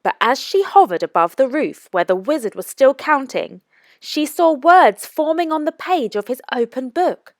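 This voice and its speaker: A woman reading aloud as a children's storytime narrator, with expressive, careful and deliberate phrasing. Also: high voice, no echo, clean studio sound. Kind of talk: scripted storybook read-aloud for young children.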